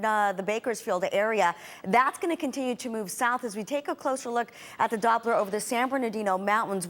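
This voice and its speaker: A woman's voice: fairly high pitch, a bit bright. A woman presenting a television weather forecast, talking through maps and conditions.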